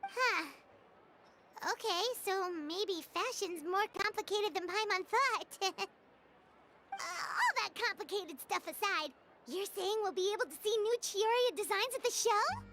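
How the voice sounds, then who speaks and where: Tiny squeaky fairy sidekick: childlike, inquisitive, swooping delivery for an anime game, music behind. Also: female voice, lively intonation, measured pace, sharp tone, heavily acted. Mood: curious. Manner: refers to itself in the third person, inquisitive. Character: small childlike floating fairy companion, curious and chatty. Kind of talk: anime-style video game voice acting.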